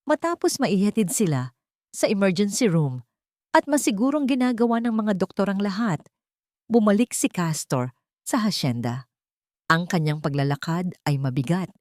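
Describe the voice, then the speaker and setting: A woman's voice: mid-range voice, animated, moderate pace, a little mellow, no echo, clean studio sound. A woman reading scripted romantic drama narration as a storyteller.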